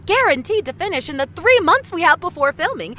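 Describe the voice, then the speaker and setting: A woman's voice: very high voice, very animated, a little fast, bright, forceful tone, a little hiss or hum, full character voice. A woman acting in an audio drama as a vain, foul-mouthed, ruthless TV executive, theatrical and sarcastic. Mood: sarcastic, snappy.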